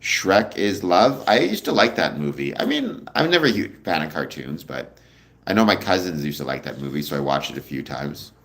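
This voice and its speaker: A young man hosting a casual livestream, answering viewer comments in a relaxed, rambling way with a deep voice. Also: moderate pace. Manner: relaxed, rambling, many ums, answers chat questions. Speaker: livestream host.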